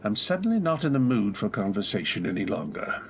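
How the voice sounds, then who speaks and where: A man's voice: lowish voice, very animated, fairly quick, slight background noise. A man in a community radio drama playing a brooding, wealthy widower who turns abruptly commanding. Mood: pained. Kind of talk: old-time radio drama acting.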